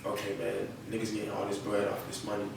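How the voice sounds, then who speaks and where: A young man chats casually in slang, as a guest being interviewed. His delivery stays fairly flat and gravelly. He is heard off the mic in a noisy room. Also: lowish voice, fast, very echoey, distant mic. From North Carolina, United States. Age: young adult. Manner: slangy, often checks with "you feel me".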